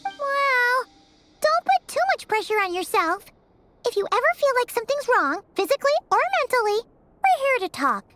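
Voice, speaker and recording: An extremely high, squeaky little fairy girl from a video game. She is chirpy and childlike, with lively swings of pitch, and music plays clearly behind her. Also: moderate pace, full character voice. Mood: caring. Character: tiny floating fairy companion, chirpy childlike girl, caring. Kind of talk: video game character acting.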